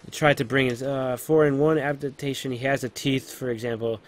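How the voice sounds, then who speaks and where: Male podcast host, mid-range voice, casual and conversational, a little halting. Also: mid-range voice, steady tone, a little hiss or hum. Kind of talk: casual live podcast chat.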